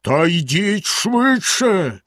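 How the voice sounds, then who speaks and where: A man playing a cruel fairy-tale husband bellowing slow threats up the stairs. The voice stays fairly high and swings sharply, and the recording is dry and close. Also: big pitch swings, no echo, exaggerated voice. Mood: menacing. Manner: shouting, drawn-out threats. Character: menacing cruel husband with a knife. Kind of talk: storybook reading.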